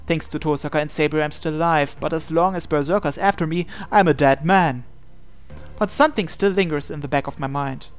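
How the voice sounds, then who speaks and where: A man hosting a game video, reading visual novel text aloud and adding his own asides. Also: on the high side. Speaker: gaming video host.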